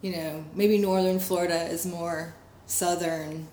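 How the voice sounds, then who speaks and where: A woman's voice: on the low side, steady tone, measured pace, mellow, a little hiss or hum. A woman in her thirties from Connecticut, talking casually as an interviewee, hesitant, with many ums and restarts. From Connecticut, USA. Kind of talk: casual oral-history interview. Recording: echoey room.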